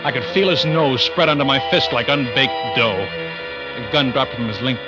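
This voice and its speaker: An actor playing a tough, terse pilot who narrates a crime thriller in old-time radio suspense style. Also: male voice, mid-range voice, normal speed, natural voice. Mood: grim. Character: hard-boiled male pilot narrating his own crime thriller. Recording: dull vintage radio recording under loud music.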